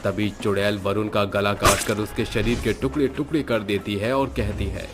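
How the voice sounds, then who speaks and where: A man's voice: lowish voice, fairly even intonation, moderate pace, heavy background noise. A man narrating a scripted horror story as its storyteller. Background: faint background music.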